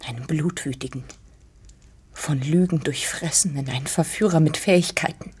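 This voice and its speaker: A woman's voice: sits low, normal speed, a little mellow, slight background noise, close to an everyday voice. A woman playing a defiant, bitter farm woman in a literary radio drama. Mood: bitter, accusing.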